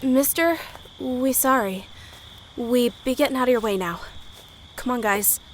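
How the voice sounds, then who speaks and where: Woman playing a high-voiced plucky teenage girl. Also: a little slow, slight background noise, lightly acted. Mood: guarded, brave. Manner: folksy dialect grammar, plucky. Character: brave teenage high school girl, defiant but polite. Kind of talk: 1930s period audio drama acting. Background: crickets and night insects.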